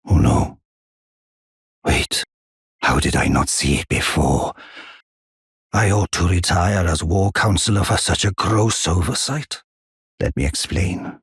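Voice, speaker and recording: Wise giant blacksmith, video game fantasy, slow measured delivery, very raspy rumbling voice, solemn, gently formal. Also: male voice, no room sound, studio quality, exaggerated voice. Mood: regretful. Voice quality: deep, husky, gravelly. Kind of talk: scripted fantasy game dialogue.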